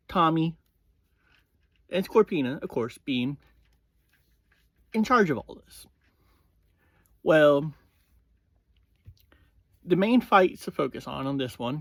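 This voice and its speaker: A man hosts an informal recap in a fairly high-pitched voice. He talks very slowly and haltingly, breaking his sentences into short pieces and restarting with "well". The sound is dry, with hardly any room on it. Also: no room sound. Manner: halting, starting many phrases with "well". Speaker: host of a review series. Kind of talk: casual episode review, informal recap.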